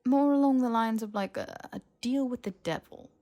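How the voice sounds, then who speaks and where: Casting: female reader as a cagey young male wizard, stumbling over phrases, a gravelly edge, fantasy audiobook dialogue. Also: fairly high pitch, moderate pace, warm tone, pitched above the performer's own voice, natural voice. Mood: awkward, evasive.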